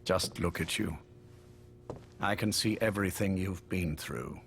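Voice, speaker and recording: Low-voiced, genteel sickly spymaster. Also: male voice, gravelly, fairly bright tone, a little hiss or hum, heavily acted. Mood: grave, intense. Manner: urbane, measured, wryly polite. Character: genteel, sickly older British male spymaster, courteous but menacing. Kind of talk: dramatic game voice acting.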